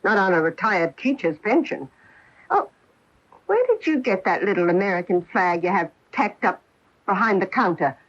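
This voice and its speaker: An actress playing a thrifty, tart, older retired schoolteacher in a 1980 radio drama. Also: female voice, medium pitch, animated, moderate pace, lightly acted. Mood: dry, curious. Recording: dull 1980 AM radio broadcast.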